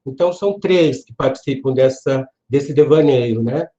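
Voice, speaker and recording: A man with a Brazilian accent giving an informal online literature lecture in a hesitant, halting manner, often checking for agreement. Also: mid-range voice, warm tone. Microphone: online video call. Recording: dull, lo-fi online class audio.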